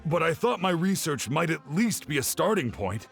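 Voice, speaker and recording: An animated, creaky earnest young researcher. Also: male voice, fairly high pitch, moderate pace, faint music behind. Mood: dejected. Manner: earnest, insistent, explaining. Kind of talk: video game dialogue.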